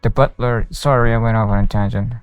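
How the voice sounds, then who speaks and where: A man's voice: medium pitch, steady tone, fairly quick, clear, resonant tone, no echo, dull audio. A man streaming a video game, casually commenting and reading dialogue aloud into a close mic with game music behind.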